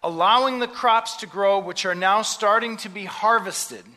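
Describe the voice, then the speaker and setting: A man preaching a sermon in short phrases, pausing so an interpreter can translate. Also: sits high, sharp tone. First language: English. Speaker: pastor. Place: church sanctuary.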